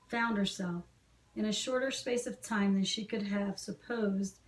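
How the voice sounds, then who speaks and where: A woman's voice: medium pitch, steady tone, measured pace, slight background noise. A woman reading a classic novel aloud as an amateur audiobook narrator. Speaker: volunteer audiobook reader. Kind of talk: scripted literary narration. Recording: echoey room, slightly distant mic.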